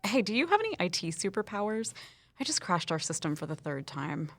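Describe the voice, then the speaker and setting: A woman's voice: fairly low pitch, very animated, a little fast, a bit bright, dry recording, heavily acted. An actress playing a breezy, friendly woman office colleague in a scripted audio drama, casual and playfully joking.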